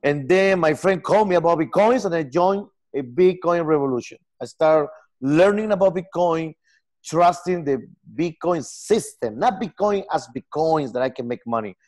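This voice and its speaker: A man in his forties from Peru, speaking English with a Peruvian Spanish accent, earnest and halting in a casual video-call interview. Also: fairly high pitch, lively intonation, darkish tone. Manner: earnest, gestures a lot, halting non-native phrasing. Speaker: software company founder. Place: home room with lamp and armchair.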